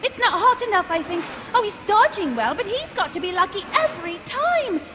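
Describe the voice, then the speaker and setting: An actress playing an earnest, excitable dragon expert who explains and exclaims in a live radio drama. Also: female voice, very high voice, brisk, music in the background. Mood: earnest, worried. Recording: very noisy live stage recording.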